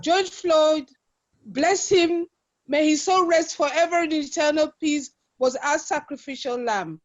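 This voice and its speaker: An older woman in her late sixties speaks in a notably high voice. She reflects earnestly and with emphasis, often repeating a phrase. The sound is dull and lo-fi, as from a video call. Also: measured pace. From London-born, grew up in West Africa. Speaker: webinar participant. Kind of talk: personal reflection in online discussion.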